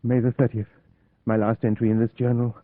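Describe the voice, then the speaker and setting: An actor playing a desperate, haunted young Englishman held captive in an old-time radio horror play, speaking with melodramatic dread and dramatic pauses. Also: medium pitch, little pitch movement, dry recording, close to an everyday voice. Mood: despairing. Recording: muffled old radio recording, music behind.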